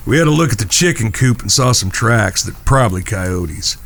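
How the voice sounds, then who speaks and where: A man's voice: fairly low pitch, lively intonation, normal speed, vocal fry, fairly bright tone, slight background noise. A man playing an earnest, protective Old West cowhand with a folksy, polite manner and a Southern American accent in a Western audio drama. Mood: practical, courteous.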